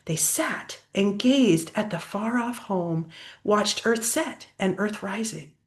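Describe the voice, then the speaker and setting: A woman's voice: mid-range voice, expressive, slow. An older woman reading a children's picture book aloud expressively, like a grandmother pointing out pictures to a child.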